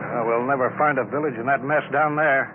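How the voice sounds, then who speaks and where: An actor playing a blustering colonial bureau chief, brusque and commanding, in an old radio drama. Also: male voice, medium pitch, fairly even intonation, fairly quick, narrow, tinny audio, close to an everyday voice. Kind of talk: 1950s radio drama acting. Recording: scratchy old radio broadcast.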